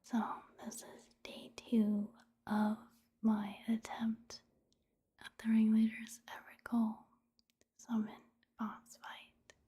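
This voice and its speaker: A woman giving soft-spoken ASMR commentary on a video game in a breathy, whispery voice, hushed and halting. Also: on the high side, completely flat delivery. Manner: hushed, unhurried, halting delivery. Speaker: gaming ASMR creator.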